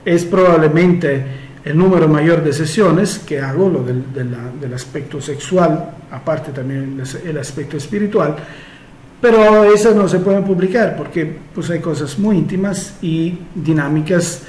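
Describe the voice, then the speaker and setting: A man who is not a native Spanish speaker, talking slowly and hesitantly as a therapist answering follower questions, with frequent fillers. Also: a little high, a little mellow, roomy sound, poor audio. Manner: slow and measured, many hesitations and fillers. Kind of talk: casual solo Q&A monologue.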